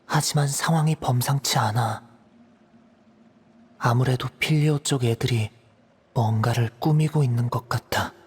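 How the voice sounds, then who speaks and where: A man's voice: medium pitch, measured pace, pauses often, breathy edge. A man voicing a reserved, worried teenage boy in an anime-style game, speaking tentatively and in a subdued way.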